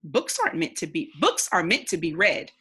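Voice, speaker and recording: A woman voicing a kindly, bookish old sailor from a storybook, in a courteous, measured way. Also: lowish voice, very animated, fairly bright tone, slightly rough, full character voice. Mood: gentle, hospitable.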